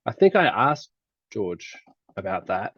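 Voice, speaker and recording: An Australian man co-hosting a fan podcast, chatting casually about books in a halting, hesitant way. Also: fairly low pitch, very animated, breathy edge, no room sound, dull audio. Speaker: podcast co-host. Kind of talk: casual book chat.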